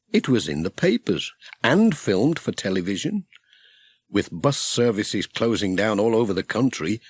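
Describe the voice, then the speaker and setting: British male children's storyteller: lowish voice, lively intonation, scripted audiobook read. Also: husky, no echo.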